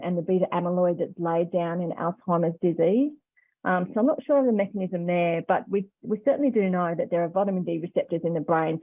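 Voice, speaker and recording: Low-voiced Australian woman on a phone-like line. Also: dry recording. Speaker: health expert guest on radio phone-in. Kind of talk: conversational expert explanation. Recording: muffled phone-like line on radio.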